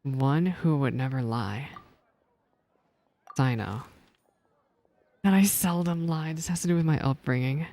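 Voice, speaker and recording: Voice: mid-range voice, a little slow, long pauses, mellow, no echo. A video game streamer reading game text aloud and reacting with emotional commentary. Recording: game music behind the voice. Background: video game music.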